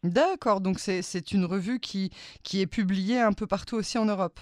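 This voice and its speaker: A woman's voice: medium pitch, moderate pace, a little mellow, no echo. Woman radio host in a dry studio. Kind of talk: radio interview.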